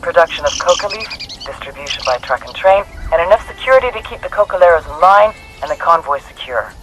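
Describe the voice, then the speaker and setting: An actor playing a brisk, matter-of-fact intelligence handler giving a scripted mission briefing in a game. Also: female voice, lowish voice, expressive, a bit bright, a little hiss or hum, low-quality recording. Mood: businesslike. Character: businesslike intelligence handler briefing special forces soldiers.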